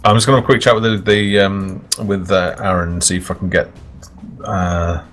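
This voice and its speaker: A man's voice: low-pitched, little pitch movement, a little fast, fairly bright tone, slight background noise. A man speaking as a game developer guest over voice chat, casually explaining game features. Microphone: push-to-talk voice chat. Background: background music.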